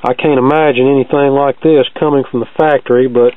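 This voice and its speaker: A man's voice: medium pitch, steady tone, brisk, no echo. A man narrating a hands-on repair in a casual, methodical, matter-of-fact way, as host of his own video. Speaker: host, vintage record player repair hobbyist. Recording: muffled phone-like audio with background noise.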